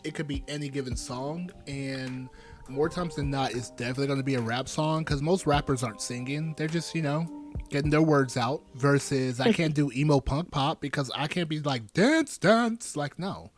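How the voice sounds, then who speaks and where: Man, 30s, podcast co-host, rambling animated chat, raised pitch. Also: normal speed, faint music behind. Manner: animated, joking, rambling asides.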